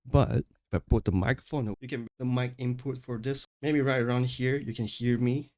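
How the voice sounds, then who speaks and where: A man's voice: medium pitch, fairly even intonation, normal speed, darkish tone. A man presenting an audio tutorial in a casual, explanatory way, repeating himself for emphasis, recorded on a studio microphone in a home room. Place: small untreated home room with furniture. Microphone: close studio microphones, condenser then dynamic.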